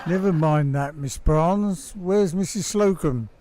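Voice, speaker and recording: Male actor as flustered, formal store manager; unhurried, radio comedy, noisy clicky recording. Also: on the high side. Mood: weary. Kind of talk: comic radio play acting.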